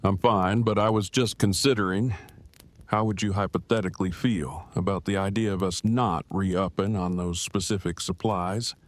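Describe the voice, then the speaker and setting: Man acting a deep-voiced, formal bookish husband. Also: fairly even intonation, normal speed, no echo, close to an everyday voice. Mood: earnest, careful. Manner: formal, verbose, precise. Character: earnest, bookish husband speaking in formal, wordy phrases. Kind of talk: fan audio drama acting.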